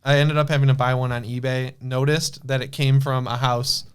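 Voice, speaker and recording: A man's voice: mid-range voice, level tone, a little fast, smooth. A young man, a guest on a podcast, telling a wry story in a casual chat.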